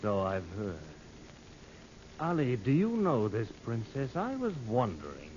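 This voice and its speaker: A dull, noisy vintage radio recording of a skeptical male tourist. His delivery is animated and offhand, teasing and probing as in an adventure serial. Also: mid-range voice, unhurried. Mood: teasing, curious. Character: breezy, skeptical male Western tourist, curious and prying. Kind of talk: old-time radio adventure serial acting.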